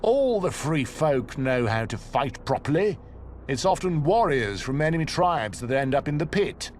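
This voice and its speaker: An actor playing a cynical, resigned prisoner in a video game, wry and matter-of-fact. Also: male voice, fairly high pitch, very animated, normal speed, slight background noise. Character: cynical, resigned seasoned male prisoner in a cage. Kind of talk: dramatic video game acting.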